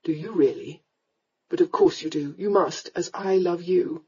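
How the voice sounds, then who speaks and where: A woman plays a young aristocratic rake in a romance audiobook, pitched low and mellow. He is blunt and commanding, with a hot temper and a tender side. The recording is a little dull and muffled. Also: animated, moderate pace, slightly rough.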